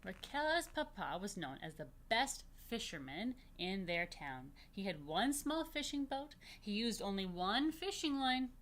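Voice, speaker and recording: A woman's voice: mid-range voice, fairly bright tone. A woman rabbi leading a children's story time, reading aloud and adding playful, simply worded asides with plenty of repetition. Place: virtual story time recorded in a room.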